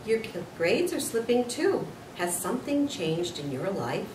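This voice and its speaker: A woman voicing a concerned, patient female schoolteacher who questions gently in a children's story. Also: medium pitch, sing-song, measured pace. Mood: stern, concerned. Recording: roomy, distant mic, noisy.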